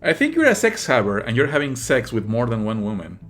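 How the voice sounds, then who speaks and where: A man's voice: medium pitch, wildly swooping pitch, brisk, fairly bright tone. A man giving casual, mocking let's-play commentary as a livestreamer. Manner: sarcastic, mocking. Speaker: livestreamer playing a game. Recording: faint game music behind. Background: game music.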